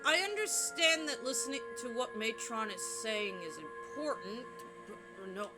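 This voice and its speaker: A woman's voice: on the high side, lively intonation, unhurried, over music. A woman voicing a curt, stubborn young male mercenary in a video game, in a blunt, clipped way. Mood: curt, urgent.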